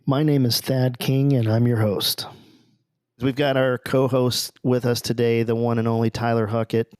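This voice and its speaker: An American man speaks as a podcast host in a conversational presenting style. His voice sits at a medium pitch. The sound is dry, with very little room echo. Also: level tone, moderate pace, a little mellow. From southern United States. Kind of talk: podcast introduction and casual interviewing.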